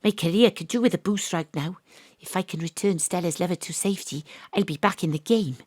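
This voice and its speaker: An actress playing a wry, sceptical Welsh private detective in a noir-parody audio drama, with deadpan asides. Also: female voice, fairly low pitch, a little fast, slightly rough, no room sound. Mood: wry, suspicious.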